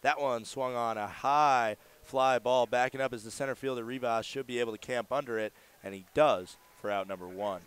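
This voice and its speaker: Male baseball radio announcer, mid-pitched, smooth and crisp, live play-by-play. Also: moderate pace, few pauses, fairly bright tone. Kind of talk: live sports commentary.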